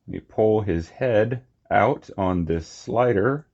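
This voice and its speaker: A man giving a casual, unscripted hands-on toy review, talking in short, halting phrases on a slightly muffled recording. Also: lowish voice, creaky, a little mellow, husky. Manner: halting, short phrases while handling objects.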